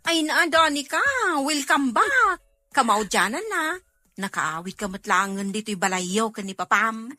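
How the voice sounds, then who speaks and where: A woman playing a cheerful, teasing young farm woman in an expressive audio drama performance. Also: a little high, measured pace, soft background music. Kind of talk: amateur Filipino audio drama acting.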